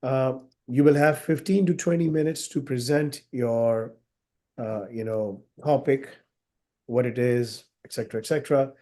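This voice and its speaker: A man in his thirties speaks over a video call with a husky, slightly sick voice, clearing his throat often. His tone is dark and mellow, and he talks in a measured, hesitant way full of fillers, like an examiner explaining the rules of an exam. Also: mid-range voice, fairly even intonation. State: under the weather, husky voice. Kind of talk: formal exam briefing.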